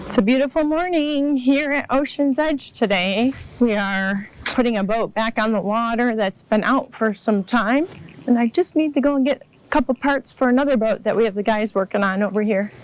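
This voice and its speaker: A woman's voice: on the high side, a little hiss or hum, rough recording. Chatty woman in her fifties outdoors. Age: 50s. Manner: chatty, laughs easily. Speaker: vlogger touring a boat yard. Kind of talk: casual walking vlog. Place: outdoors at a marina.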